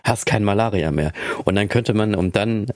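A hoarse man co-hosting a podcast in casual chat, clearing his throat and using many fillers. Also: fairly low pitch, normal speed, dry recording. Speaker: podcast co-host.

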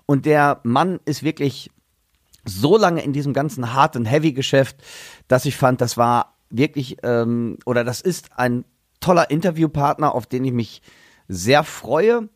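A man's voice: mid-range voice, measured pace, no echo, clean studio sound. A man hosting a casual podcast interview, chatty and loose, with many fillers and restarts. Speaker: podcast host and drummer.

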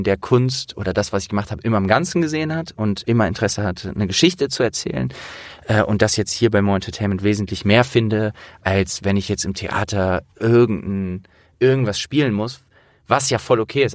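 Deep-voiced man talking earnestly, dry close sound. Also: no room sound. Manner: earnest, emphatic, colloquial with swearing. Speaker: interviewer and audio drama producer with acting training. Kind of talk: casual, opinionated conversation.

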